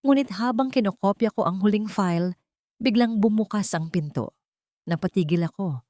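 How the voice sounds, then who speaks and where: A woman's voice: mid-range voice, moderate pace, mellow, no room sound, clean studio sound. A woman with a Filipino accent narrating a dramatic first-person story as an audiobook reader.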